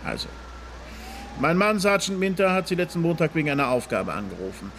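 An actor playing a bullying, ambitious police inspector in a radio drama, condescending, brusque and blunt. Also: male voice, fairly high pitch, sing-song, a little fast, a bit bright, slight background noise. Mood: boastful, brusque.

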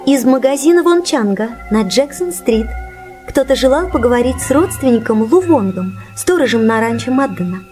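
A woman's voice: sits high, animated, moderate pace, darkish tone, soft background music. An actress playing an outspoken, proud young woman in a radio play, pert and chatty. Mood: eager, chatty. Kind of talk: Russian radio play acting.

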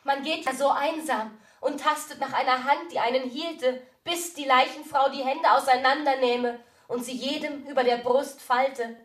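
A woman's voice: high voice, steady tone, moderate pace, few pauses, a bit bright, roomy sound. A woman voicing a young stage actress who is ironic and impatient. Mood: yearning.

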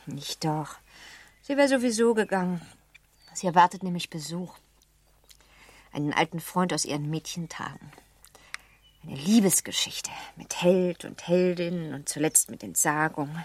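An actress playing a playful, teasing teenage daughter of a manor house in a radio drama, with a lively, pert delivery. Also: female voice, fairly low pitch, measured pace, long pauses, dry recording. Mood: bright, confiding. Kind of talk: German radio play acting.

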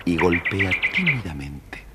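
A man with a very low voice narrates a children's story. The old record carries long reverb and clear background noise. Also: sing-song, measured pace.

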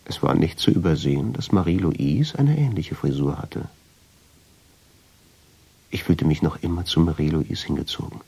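A brooding, lovestruck man telling his own story in a radio play. His deep voice is very husky and creaky, and he narrates with deliberate dramatic intensity. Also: animated, warm tone, heavily acted. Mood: reflective, confiding. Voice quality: husky, gravelly, often sinking into whisper. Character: brooding, lovestruck male first-person narrator.

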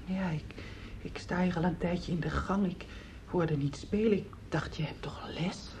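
A middle-aged actress playing a worried, weary wife in a radio play, speaking in a hesitant, subdued way. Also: female voice, lowish voice, a little slow, a little mellow, slight background noise. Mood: hushed, worried.

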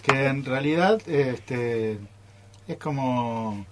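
Argentine man, mid-pitched, faint room noise. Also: extremely slow. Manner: joking, informal. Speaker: radio theatre group member. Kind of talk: casual group interview chat. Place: radio studio.

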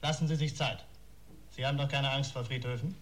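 An actor playing a menacing, bullying blackmailer in a German radio crime drama, barking curt orders and insults. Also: male voice, mid-range voice, steady tone, fairly quick, fairly bright tone, natural voice.

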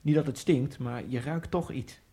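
An actor playing a nervous, wryly ironic Egyptian immigrant, narrating his thoughts in a hushed first-person monologue. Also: mid-range voice, moderate pace, natural voice. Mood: brooding. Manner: hushed, brooding inner monologue.

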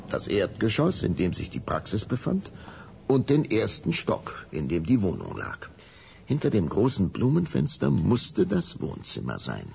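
A man with a gravelly voice narrating a children's radio play in a dramatic storybook style. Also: deep voice, a little mellow, a little hiss or hum, heavily acted.

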